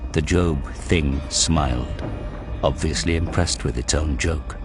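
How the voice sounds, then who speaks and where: Low-voiced man narrating dark drama over music. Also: slow pace. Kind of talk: dramatic scripted audiobook narration.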